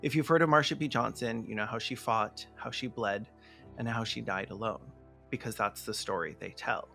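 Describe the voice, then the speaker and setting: A man's voice: lowish voice, moderate pace, gravelly, sharp tone, faint music behind. A man narrating a scripted video essay with casual asides, in an emphatic, opinionated way. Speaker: video essayist and podcast host.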